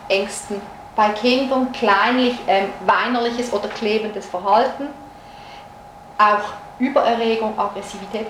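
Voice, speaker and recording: Female lecturer, Swiss-raised, explanatory, fairly high voice, roomy and noisy recording. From Switzerland. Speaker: psychologist giving a lecture. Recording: echoey room recording with noise.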